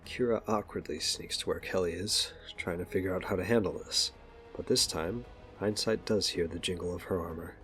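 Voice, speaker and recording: A man's voice: low-pitched, moderate pace, a bit bright, faint music behind. A man narrating a scripted audio drama, telling the action as a storyteller.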